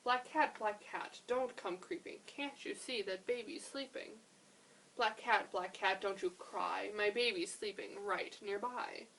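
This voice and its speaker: A woman's voice: medium pitch, measured pace, a bit bright, a little hiss or hum, low-quality recording. A woman voicing a protective mother in a storybook lullaby, chanting her pleas in rhythm. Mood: chanting, pleading. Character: protective mother shushing animals in lullaby tale.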